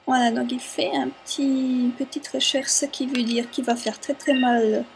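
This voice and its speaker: A woman's voice: sits high, little pitch movement, moderate pace, soft background music, poor audio. Hesitant young woman, non-native speaker. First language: not French. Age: young adult. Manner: hesitant, searching for words, many fillers. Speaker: let's play commentator. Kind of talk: casual gameplay commentary.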